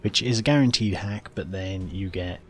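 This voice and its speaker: A man's voice: low voice, moderate pace, a bit bright. A man giving explanatory, matter-of-fact commentary as he hosts a video game walkthrough. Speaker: gaming video host. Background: video game music and sounds.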